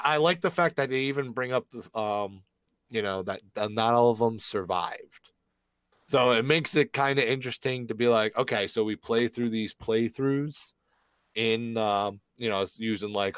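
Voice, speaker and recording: A man co-hosting a gaming livestream, slightly raspy from being sick, chatting and reading news aloud with a rambling manner. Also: fairly low pitch, moderate pace, a bit bright, no room sound. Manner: rambling, with many fillers.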